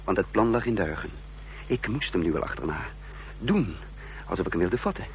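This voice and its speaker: An actor playing a tense, commanding conspirator and first-person narrator in a radio drama, emphatic and dramatic. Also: male voice, low-pitched, sing-song, fairly quick. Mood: tense narration. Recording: noisy, humming old radio recording.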